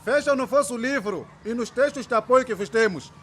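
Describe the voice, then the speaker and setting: A patient, keen male schoolteacher in a radio serial, pitched very high. He instructs and checks with questions over faint background noise. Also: a little fast, fairly bright tone. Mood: firm, correcting. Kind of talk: radio drama acting.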